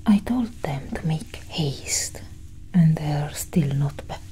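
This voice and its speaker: A young woman whispering breathily and deliberately in a soft ASMR roleplay as a calm alien scientist, close to the mic. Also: low-quality recording. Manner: slow, deliberate, hushed. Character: calm, clinical female alien scientist examining a human. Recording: steady low engine-like hum.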